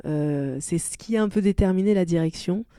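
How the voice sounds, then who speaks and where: A woman's voice: on the low side, fairly quick, warm tone, dry recording, clean studio sound. A woman whose first language is Arabic, a singer-songwriter talking thoughtfully about her music in an interview, often restarting as she looks for the right words. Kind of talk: relaxed interview chat.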